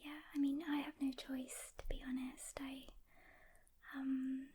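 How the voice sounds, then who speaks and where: A woman playing a calm, weary demon hunter mentoring a newcomer in a gentle, hesitant whisper, as soft-spoken ASMR roleplay. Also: sits high, unhurried. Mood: wistful, subdued.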